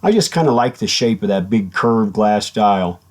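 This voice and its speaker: A man giving a casual, hands-on workshop explanation as a hobbyist restorer, haltingly thinking aloud as he works. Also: fairly low pitch, lively intonation, a little mellow. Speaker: hobbyist radio restorer and video maker. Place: indoor home workshop at a workbench.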